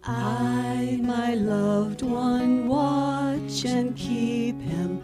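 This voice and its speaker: Lady playing a kind mama star dragon, high voice, super slow and comforting, loud music behind. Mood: tender singing. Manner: slow, soothing. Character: gentle, motherly female star dragon soothing frightened friends. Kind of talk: children's radio play acting with lullaby singing.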